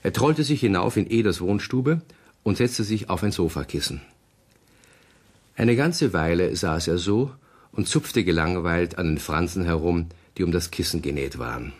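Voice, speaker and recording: A man narrating a German children's radio play as a calm storyteller, in scripted storybook style. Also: deep voice, normal speed, slightly rough. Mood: calm, storytelling.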